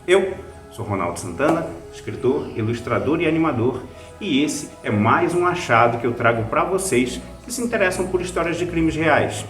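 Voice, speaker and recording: Brazilian man narrating with very lively intonation. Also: fairly high pitch, moderate pace, roomy sound, faint music behind. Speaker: host, writer and reviewer. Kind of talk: scripted podcast review narration.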